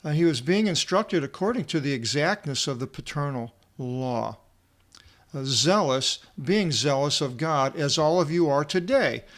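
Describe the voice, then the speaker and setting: A man giving an informal verse-by-verse Bible lecture as a teacher, reading aloud and explaining word by word in short, halting phrases. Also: a little high, lively intonation, fairly bright tone. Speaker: Bible teacher and translator presenting a video seminar.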